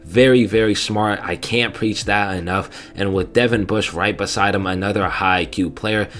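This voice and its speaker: A man's voice: fairly low pitch, fairly even intonation, normal speed, a bit bright. A young man with a stuffy nose giving casual, enthusiastic film breakdown commentary, often asking "right?". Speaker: fan video host.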